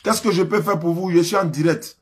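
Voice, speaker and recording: Ivorian man hosting, slightly high voice. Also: fairly even intonation, brisk, slightly rough. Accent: Ivorian French. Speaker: host of a religious call-in live stream. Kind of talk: live phone call-in talk.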